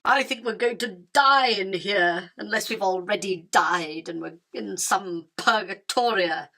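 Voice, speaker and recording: A woman's voice: mid-range voice, a little slow, gravelly, sharp tone, heavily acted. A woman playing a bossy, irritable ageing female spider in a theatrical radio drama, haranguing and full of florid insults. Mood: bleak.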